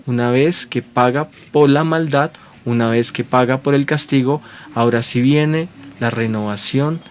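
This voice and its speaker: A man's voice: mid-range voice, a little hiss or hum. A man preaching a sermon to viewers at home, earnest and repeating phrases as he builds his points. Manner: earnest, checks in with repeated rhetorical questions.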